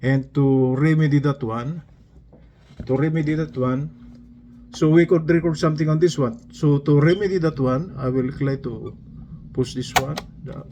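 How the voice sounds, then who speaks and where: A man giving an informal hands-on tutorial as an instructor, speaking haltingly and repeating himself step by step. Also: a little high, warm tone, slight background noise. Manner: halting, repetitive, explains step by step. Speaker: instructor demonstrating a device.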